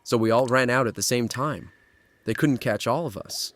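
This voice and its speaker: A man's voice: medium pitch, moderate pace, fairly bright tone, natural voice. A man playing an anxious teenage boy lost in a nightmare world, in a horror audio drama. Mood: resolving. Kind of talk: horror audio drama acting and first-person narration.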